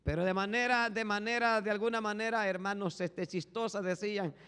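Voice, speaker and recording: A male pastor preaching a fervent sermon, swinging from hushed tones to shouting and repeating "brothers" as a refrain. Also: sits high, fairly even intonation, brisk, smooth. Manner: repeats "hermanos" as a constant refrain. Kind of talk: Spanish-language sermon. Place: church sanctuary. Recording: long echo of a large hall.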